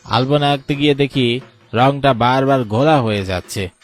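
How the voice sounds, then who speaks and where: A man's voice: medium pitch, moderate pace, lightly acted. Man acting uneasy villager, music behind. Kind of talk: animated horror story dialogue. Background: music bed.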